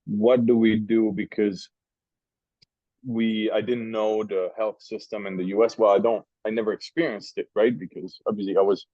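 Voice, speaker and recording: Swiss man, lunch-interview guest, low voice, rambling and chatty, muffled dry sound. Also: level tone, moderate pace, mellow, no echo. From Switzerland. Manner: rambling, with restarts and long asides. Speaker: interview guest, cigar maker. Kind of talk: casual lunch chat. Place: restaurant at lunch.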